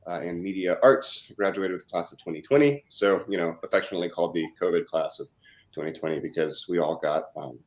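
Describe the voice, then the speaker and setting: A man in his twenties from Russia, answering interview questions in a hesitant, halting way with many fillers. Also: low voice, rough recording. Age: 20s. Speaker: interview guest.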